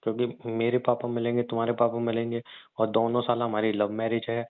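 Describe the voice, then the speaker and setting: Fast-talking young man on a tinny phone line. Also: medium pitch, monotone, clear, resonant tone. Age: young adult. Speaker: boyfriend on a phone call. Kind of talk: casual relationship banter on a phone call. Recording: tinny narrowband phone call.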